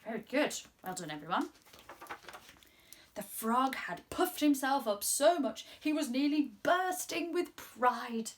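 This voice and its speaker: A woman in her twenties reading a story to children in an expressive, encouraging way. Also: sits high. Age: 20s. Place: small room at home, indoors.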